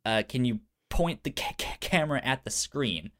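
A man's voice: mid-range voice, animated, normal speed, a bit bright. A man chatting casually as a podcast co-host, stuttering on first sounds of words and acting out conversations as he tells stories. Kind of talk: casual storytelling chat.

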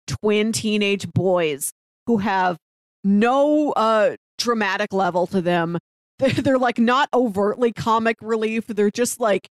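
A woman's voice: mid-range voice, slow, dry recording. Woman in her thirties, dry close sound. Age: 30s. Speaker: podcast co-host. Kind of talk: casual TV discussion chat.

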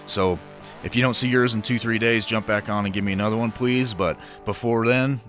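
A man's voice: fairly low pitch, fairly even intonation, fairly quick, fairly bright tone, soft background music. A man hosting a podcast in a loose, joking way, drawling out casual questions to his guest. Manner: joking, loose, laughs easily. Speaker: podcast host.

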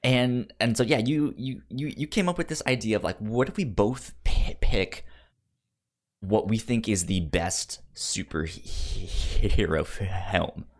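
A man's voice: fairly low pitch, slow. A man hosting a podcast in casual chat about movies, often stammering and repeating words as he gets into a sentence. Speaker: podcast host.

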